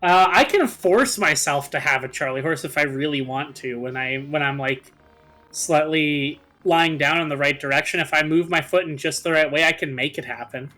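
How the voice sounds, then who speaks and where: High-pitched, bright-voiced man. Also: moderate pace. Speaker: gaming video co-host. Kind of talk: casual chat among friends over a game video.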